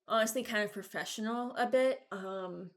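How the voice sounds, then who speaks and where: A woman's voice: on the high side, moderate pace. A young adult woman chatting casually as a video creator, rambling and hesitant as she thinks aloud. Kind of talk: casual reaction chat.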